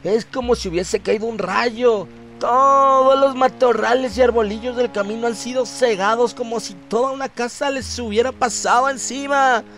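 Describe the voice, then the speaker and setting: A terrified farm boy in a horror radio play, pitched far up and loud. He gasps out his account in rustic panic. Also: normal speed, few pauses, faint music behind. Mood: terrified, breathless. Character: terrified young farmhand boy reporting something dreadful.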